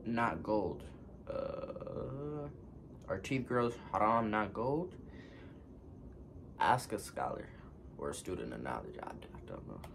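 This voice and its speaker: A man's voice: fairly low pitch, big pitch swings, takes it slowly, gravelly, pauses often, noisy background. A man in his twenties reading fan questions aloud and answering them offhand in a casual home vlog from his couch. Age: 20s. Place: living room, seated on a couch.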